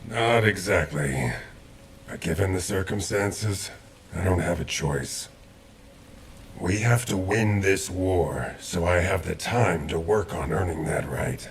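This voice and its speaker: An actor playing a somber, wary professor turned soldier in a video game, grave and measured, with a gravelly voice. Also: male voice, deep voice, expressive, slight background noise, heavily acted. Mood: somber, resolute. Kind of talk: video game cutscene acting.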